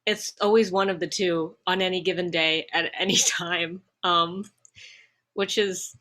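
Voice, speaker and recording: Bright, lowish-voiced woman, thoughtful. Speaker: panelist. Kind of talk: casual reflective discussion.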